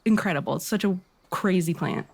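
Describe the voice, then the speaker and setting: A woman's voice: on the low side, fairly quick, creaky. Enthusiastic woman chatting as a guest. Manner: enthusiastic, effusive. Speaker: podcast guest. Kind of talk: casual excited chat.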